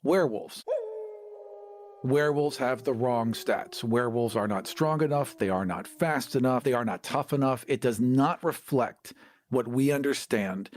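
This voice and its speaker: A man's voice: medium pitch, measured pace. A man co-hosting a podcast, casually discussing tabletop games and making emphatic points with repetition. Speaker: podcast co-host.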